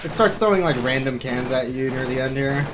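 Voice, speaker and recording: A man's voice: mid-range voice, brisk, noisy background. A man doing casual, joking, self-deprecating live commentary while playing a speedrun.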